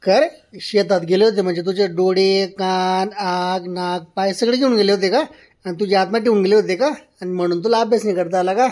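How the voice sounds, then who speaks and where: A bossy cartoon schoolteacher acted by a man with a notably high male voice and a Khandeshi Ahirani accent. He is stern and sarcastic, forever scolding his pupils. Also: fairly even intonation, brisk, a little mellow, lightly acted. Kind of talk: comedy cartoon dialogue.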